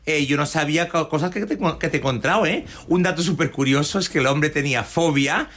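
A man's voice: a little high, brisk, a bit bright. A man chatting on a radio show, rambling and joking, often stumbling and restarting his words. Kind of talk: casual radio chat.

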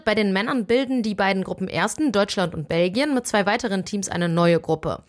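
Female sports correspondent, mid-pitched, clear explanatory scripted read. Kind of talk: scripted news report.

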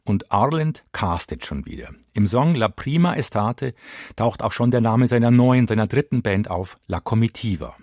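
Low-voiced male radio narrator, dry studio sound. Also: expressive, normal speed, a little mellow, no echo. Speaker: radio reporter. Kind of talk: scripted narration.

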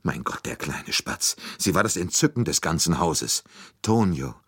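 A man voicing a hot-tempered Italian former chauffeur with an Italian accent, who talks loud, quick and indignant. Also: low-pitched, sing-song, breathy edge, full character voice. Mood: defiant.

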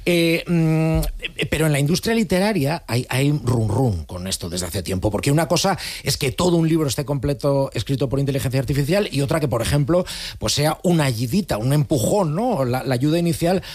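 A man's voice: a little high, lively intonation, brisk, darkish tone. Chatty man explaining technology on radio. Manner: explanatory, conversational, with filler hesitations. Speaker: technology contributor. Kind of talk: radio panel discussion.

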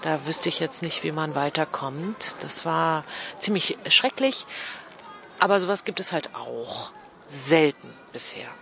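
A woman's voice: sits low, measured pace, gravelly, a bit bright, a little hiss or hum. A middle-aged woman from Berlin giving earnest, reflective interview answers, repeating words for emphasis. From Berlin, Germany. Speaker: interview guest.

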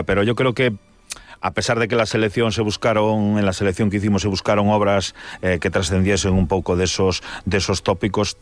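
A man's voice: low voice, no room sound. A man from Galicia, a publisher answering interview questions thoughtfully, often restarting his sentences. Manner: thoughtful, explanatory, frequent restarts. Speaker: publisher guest.

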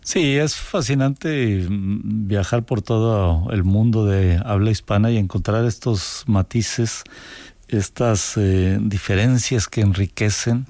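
Thoughtful Mexican man, low mellow voice. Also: no room sound. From northern Mexico. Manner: thoughtful, pausing often with hesitant restarts. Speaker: writer, interview guest. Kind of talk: conversational interview.

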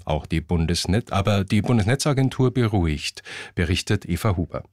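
Male news presenter, low pitch, dry studio sound, scripted bulletin delivery. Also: animated, a little fast, a little mellow, dry recording.

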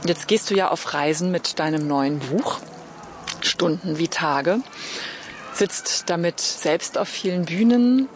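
A woman hosting a conversational interview, putting her questions in a tentative, softened way. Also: lowish voice, slow, a little hiss or hum. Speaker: interviewer, host.

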